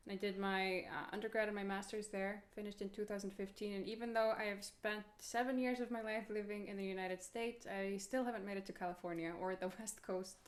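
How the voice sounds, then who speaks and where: A woman speaks over a laptop video call. She is friendly and a little self-deprecating, and her delivery stays steady and clear. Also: medium pitch, brisk, few pauses. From Iceland. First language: Icelandic. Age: 30s. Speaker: violinist guest. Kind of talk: casual video-call interview.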